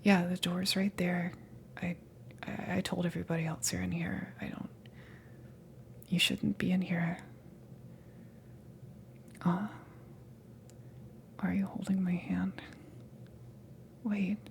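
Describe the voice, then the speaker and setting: A woman voicing a flustered, needy female alien crewmate in an ASMR roleplay, with halting, nervous, breathy whispers. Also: fairly low pitch, level tone, slight background noise. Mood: flustered, hesitant.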